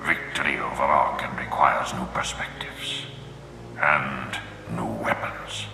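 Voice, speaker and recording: A man playing the stern, commanding ghost of an armored Sith lord in a video game, with a slow, gravelly, processed voice. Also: little pitch movement, pushed and bright, whispered, exaggerated voice. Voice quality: gravelly, breathy menacing rumble. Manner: slow, solemn, declamatory. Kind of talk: dramatic video game voice acting. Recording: long echoing reverb, faint noise.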